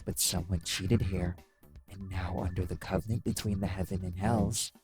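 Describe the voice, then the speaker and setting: A man's voice: deep voice, steady tone, moderate pace, a little mellow, faint music behind, close to an everyday voice. A man playing a brash, menacing demon biker who taunts, threatens and swears in an audio roleplay. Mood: furious. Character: brash, menacing male demon biker, sarcastic and foul-mouthed.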